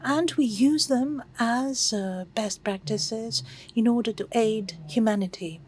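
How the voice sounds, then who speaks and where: A woman interpreting a formal lecture in real time, with halting, formal phrasing and pauses as she follows the speaker. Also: a little high, a little mellow, a little hiss or hum. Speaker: conference interpreter.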